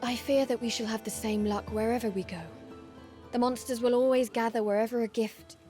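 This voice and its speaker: An actress playing a solemn, dutiful warrior maiden in a video game, speaking formally and resolutely. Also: female voice, a little high, steady tone, brisk, dark tone, faint music behind, natural voice. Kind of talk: dramatic video game cutscene acting.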